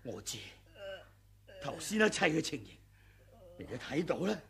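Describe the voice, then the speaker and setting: An actor playing a treacherous, greedy aging male sword master in a 1980s radio drama, sly and sneering, with sudden furious yells. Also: a little high, sing-song, moderate pace, creaky, full character voice. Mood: urgent. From Hong Kong.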